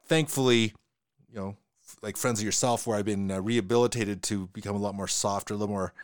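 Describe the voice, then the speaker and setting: A man with a Western Canadian accent, talking fast in casual conversation and often repeating his words. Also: lowish voice, steady tone, no room sound, studio quality.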